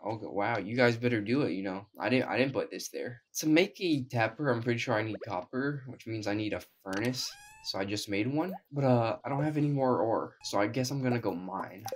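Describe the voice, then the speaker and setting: A man's voice: lowish voice, a little fast, hardly any pauses, a little hiss or hum. A young man who is sick and sounds weak, giving wry, self-mocking commentary over his gameplay. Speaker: gaming YouTuber.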